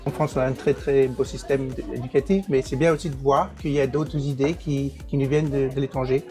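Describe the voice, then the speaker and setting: A man who is an English teacher and program director, answering interview questions with many pauses, fillers and restarts. English is his first language. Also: mid-range voice, fairly even intonation, music in the background.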